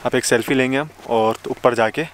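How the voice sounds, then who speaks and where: A young man vlogging casually outdoors on a snowy mountain road, chatty and excitable, talking to a camera held at arm's length. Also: medium pitch, normal speed. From Pakistan. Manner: chatty, excitable, laughs often. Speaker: travel vlogger. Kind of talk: casual travel vlog. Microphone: handheld camera at arm's length. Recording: outdoor noise, wind and vehicles.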